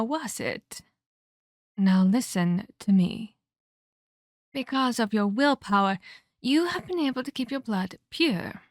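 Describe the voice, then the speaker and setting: A woman voicing an ancient female vampire in an ASMR roleplay, a measured, patronizing mentor who scolds and teases with veiled menace. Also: on the high side, no room sound, studio quality. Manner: condescending, teasing, measured, addresses listener as child.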